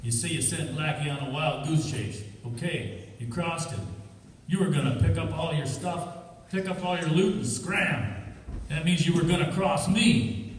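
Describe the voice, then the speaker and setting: An actor playing a suspicious, cold small-time crook in an old-time radio crime drama, talking tough and sardonic slang. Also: male voice, a little high, unhurried, lightly acted. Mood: cold, threatening. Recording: echoey hall, distant mic, faint noise.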